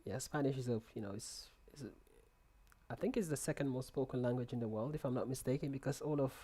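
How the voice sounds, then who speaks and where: A man's voice: medium pitch, fast, mellow, husky. A young man hosting a live trading stream in casual chat, reading and answering viewer comments with many restarts and repeated words, speaking into a headset in a small room.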